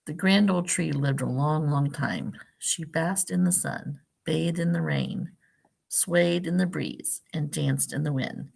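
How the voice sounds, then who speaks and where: A woman reading a picture book aloud to young children, pausing for simple questions and explanations like a teacher. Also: sits low, lively intonation, creaky, a little mellow, breathy edge. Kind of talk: read-aloud storytime with asides and questions to young listeners.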